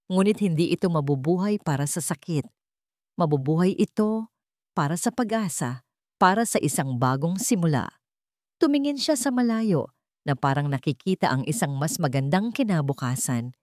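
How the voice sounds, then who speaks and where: A woman's voice: low voice, expressive, moderate pace, darkish tone, dry recording, studio quality. A woman narrator reading a scripted, dramatic story.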